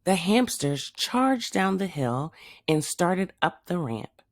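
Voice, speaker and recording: A woman reading a children's story aloud as a teacher would, with expressive narration. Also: fairly low pitch, takes it slowly, no echo, studio quality.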